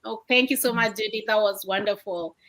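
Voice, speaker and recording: A woman's voice: medium pitch, steady tone, fairly quick, fairly bright tone. A woman from Kenya hosting a livestream chat over a video call, upbeat and welcoming as she hands over to guests. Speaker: livestream host. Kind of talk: motivational livestream talk. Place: home, indoors at night.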